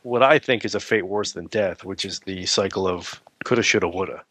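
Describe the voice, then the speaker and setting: Guy guesting on a podcast, bright forward voice, wry, casual, dry sound. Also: deep voice, brisk, husky, no room sound. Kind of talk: casual interview chat.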